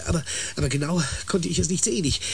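A man's voice: on the high side, fast talker, gravelly, a little hiss or hum. A man acting a frightened, unsure planetary surveyor in a radio play, stammering and repeating words as he speaks. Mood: shaken, halting.

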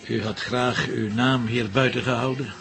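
A man's voice: medium pitch, steady tone, normal speed, vocal fry, a bit bright, faint music behind. A man playing a dry, probing police commissioner in a TV crime drama, teasing and informal, with a Flemish accent.

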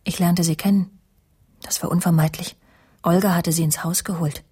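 An actress playing a bitter middle-aged woman who confides in a measured, often murmuring voice in a German radio crime drama. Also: on the low side, fairly even intonation, mellow, husky. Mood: confiding, bitter. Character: bitter middle-aged woman narrating her jealous confession.

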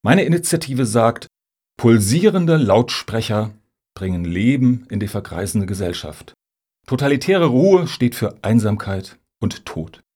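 An actor playing an earnest, self-justifying middle-aged music lobbyist in a radio satire, speaking in formal jargon. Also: male voice, mid-range voice, big pitch swings, a little slow, darkish tone, breathy edge. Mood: pompous, persuasive. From Hamburg, Germany. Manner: formal, jargon-heavy, pompous.

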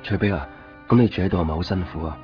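A low-voiced, mild young Hong Kong shopkeeper, played by a male actor tells his story plainly in a radio drama. He is gentle and easygoing. The recording is dull, with music clearly playing behind him. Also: rapid-fire, natural voice. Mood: caring. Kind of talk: radio drama narration and dialogue. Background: prominent background music.

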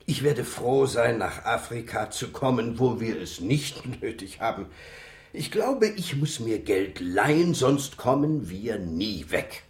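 A man's voice: medium pitch, expressive, slow pace. A man playing a good-natured, unworldly animal doctor in a theatrical manner in a children's radio play.

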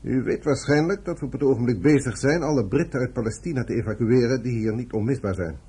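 Casting: dutiful military officer, formal and clipped, dark very husky mid voice, radio drama. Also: male voice, mid-range voice, fast talker. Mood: dutiful, insistent. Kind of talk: Dutch radio play acting.

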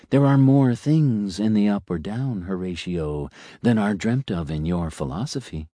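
A man voicing a polite, complacent talking elevator that muses like a philosopher, speaking softly and dryly. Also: lowish voice, breathy edge, no room sound, clean studio sound, heavily acted. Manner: polite, complacent, quietly musing.

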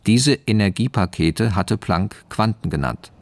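An actor playing a formal official voice, reading a dossier in dry, factual narration for a radio drama. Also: male voice, lowish voice, expressive, unhurried.

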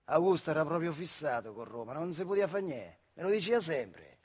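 A man's voice: a little high, sing-song, fairly quick, a little mellow, husky. An actor playing a working-class factory man, telling nostalgic, wry stories in a colloquial theatrical monologue with a central Italian accent from the Marche. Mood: fond, wry. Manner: colloquial, acting out dialogue. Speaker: stage actor, solo storyteller. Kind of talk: theatrical monologue storytelling in dialect.

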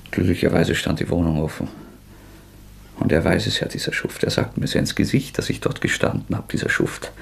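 Husky, tormented young murderer. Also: male voice, sits low, a bit bright, exaggerated voice. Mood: agitated, desperate. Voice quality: husky, creaky, often breaking into whispers. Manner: agitated, anguished, halting outbursts. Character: tormented young man confessing murder, defiant, proud, unravelling. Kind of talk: German radio drama acting.

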